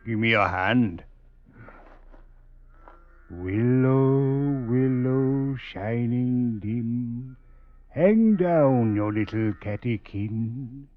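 Slow, sly fortune-teller thief. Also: male voice, mid-range voice. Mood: mystical, measured. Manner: slow, incantatory, archaic dialect. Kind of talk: old-time radio drama acting. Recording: dull, lo-fi vintage radio recording.

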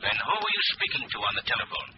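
An actor playing an invisible, menacing crime-fighting avenger who speaks with slow, mocking deliberation in old-time radio drama style. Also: male voice, natural voice. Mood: menacing interrogation. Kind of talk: 1940s radio acting. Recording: scratchy, noisy old radio recording, muffled.

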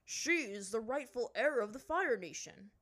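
A dignified, stern older chief, voiced by a woman reading a story, speaks formally and with measured authority. He weighs his words like a ruler addressing his throne room. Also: a little high, animated, a bit bright, pitched above the performer's own voice. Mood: humble, reverent. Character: dignified, stern older male high chief of fire people.

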